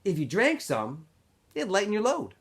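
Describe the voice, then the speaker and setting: A man's voice: sits high, huge pitch swings, normal speed, a bit bright, slightly rough, pitched above the performer's own voice, heavily acted. A laconic, stubborn teenage boy from a storybook, acted out by a man. The voice is pushed up a little and moves around freely, with short, casual phrases that show he cares. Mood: casual, coaxing. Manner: short, casual, clipped replies and questions.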